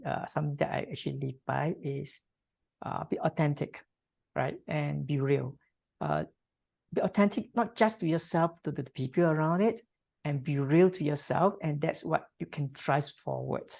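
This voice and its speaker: Thoughtful woman guest, lowish voice. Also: vocal fry, mellow. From Singapore. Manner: thoughtful, many fillers, tag questions. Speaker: podcast guest. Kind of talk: reflective interview answers.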